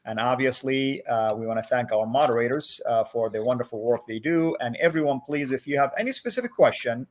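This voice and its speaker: A man hosting a livestream interview over a video call, friendly, with many filler "uh"s and animated questions. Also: medium pitch, fairly quick, few pauses. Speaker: host.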